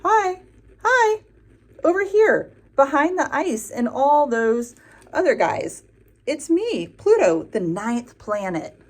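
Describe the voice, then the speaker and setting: A woman's voice: high-pitched, big pitch swings, slow pace, pitched above the performer's own voice, heavily acted. A woman voicing a cheerful, chatty little planet in a children's book, eager and a bit boastful.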